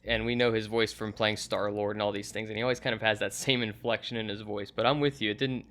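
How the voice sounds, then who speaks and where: A man's voice: on the low side, steady tone, fast, fairly bright tone. A man hosting a casual, agreeable movie review conversation, talking in a conversational way.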